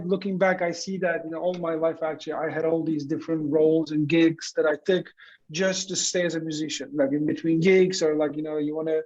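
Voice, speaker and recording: A man from Turkey in his forties talks through his answers in a relaxed, rambling way, dropping "like" into nearly every phrase. His voice sits a little high for a man. The sound is dull and lo-fi, as over a remote call. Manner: rambling, many "like" fillers. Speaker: guest, entrepreneur. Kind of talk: casual interview answers.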